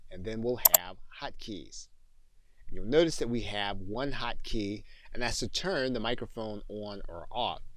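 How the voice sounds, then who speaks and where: A man narrating an instructional screencast as a software trainer, explaining things methodically, step by step. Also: medium pitch, dry recording, low-quality recording.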